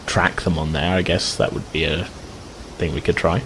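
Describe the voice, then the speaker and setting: A man's voice: low voice, fairly even intonation, moderate pace, fairly bright tone, slight background noise, poor audio. A man running a tabletop game as game master, narrating loosely with lots of hedges and fillers. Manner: hedging, filler-heavy, easygoing.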